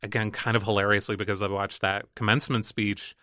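Man, softened Northern Irish accent, bright tone, rambling and self-deprecating podcast guest. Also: lowish voice, level tone, fast talker, no echo, clean studio sound. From Northern Ireland. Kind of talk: casual chat.

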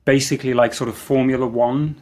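A man's voice: medium pitch, fairly even intonation, a little fast, a little mellow. A man speaking as a podcast guest, answering in a reflective, thinking-aloud way. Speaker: guest, marketing executive. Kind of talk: podcast interview.

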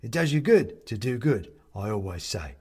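A man's voice: lowish voice, very animated, normal speed, dark tone, husky, full character voice. A man voicing a relentlessly cheerful, chatty little china man in a storybook, gleeful and a touch pompous.

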